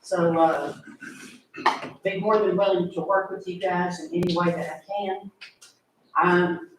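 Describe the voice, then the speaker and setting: A fairly high-voiced man speaks politely and with care, pausing on fillers as he makes his comment to a county board. He is heard from well across a very echoey meeting room, with a faint hiss underneath. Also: steady tone, a bit bright, recorded from a distance. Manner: polite, measured, with frequent filler pauses. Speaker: resident speaking at public comment. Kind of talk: formal public comment.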